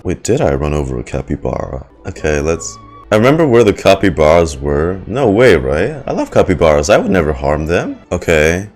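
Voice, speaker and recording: A man's voice: deep voice, huge pitch swings, moderate pace, a little mellow. A young man doing casual, slangy game reaction commentary, joking and swearing as he plays. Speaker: gaming streamer. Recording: game music behind the voice. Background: video game music.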